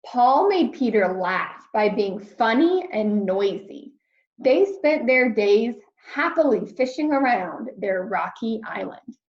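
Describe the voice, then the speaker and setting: A woman's voice: a little high, lively intonation. A woman reading a picture book aloud to young children, expressively, with simple explanations along the way. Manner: slow, simple explaining addressed to young listeners. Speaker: read-aloud storyteller, teacher of young children. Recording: roomy echo, slightly dull sound.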